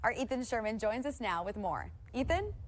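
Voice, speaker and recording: A woman's voice: on the high side, sing-song, moderate pace, slight background noise. A woman with an American accent reading scripted news as an anchor.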